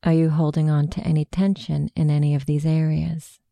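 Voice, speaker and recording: A woman narrating a guided meditation in a slow, hushed, soothing way of instructing. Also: low-pitched, little pitch movement, gravelly, no echo.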